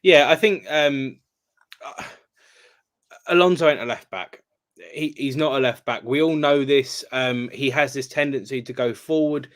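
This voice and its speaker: A man's voice: medium pitch, a little slow, fairly bright tone. Hesitant man rambling about football. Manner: hesitant, full of ums, rambling. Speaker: guest panelist. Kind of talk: casual football podcast chat.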